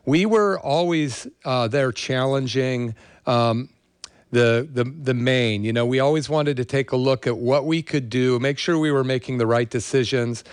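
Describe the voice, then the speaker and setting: A man's voice: mid-range voice, unhurried, clean tone, no room sound, studio quality. An older man with an American accent, hosting a show in a casual, rambling monologue with personal storytelling. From California, USA. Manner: rambling, conversational. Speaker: show host.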